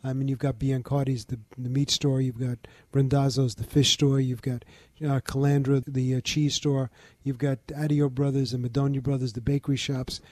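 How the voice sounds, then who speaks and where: Older Bronx-raised man, steady interview guest, warm and close. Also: medium pitch, dry recording. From the Bronx, New York. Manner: measured, informative, lists details. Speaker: museum founder, guest. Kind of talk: interview conversation.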